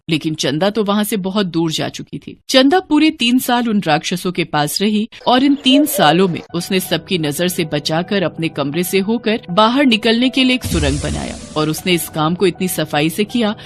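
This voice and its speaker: A woman narrating a scripted story as a storyteller, in a dramatic style. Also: mid-range voice.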